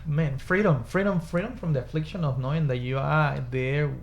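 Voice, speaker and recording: Creaky-voiced man with a Spanish-speaking accent. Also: a little high, normal speed, slight background noise. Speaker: guest mental health professional. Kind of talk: conversational panel discussion.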